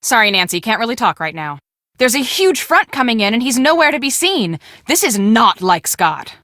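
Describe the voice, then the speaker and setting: An earnest woman who runs a storm-chasing crew in a video game. Her voice is fairly high and very bright, with a brisk, businesslike delivery that takes charge. The sound is close and dry. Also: big pitch swings, dry recording. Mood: worried. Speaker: storm-chasing team project manager.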